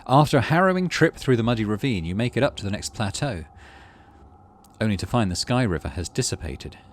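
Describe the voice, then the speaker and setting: A man's voice: on the low side, expressive, a little fast, a little hiss or hum. A man, middle-aged, doing playthrough commentary and reading game text aloud expressively. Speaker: gaming channel host.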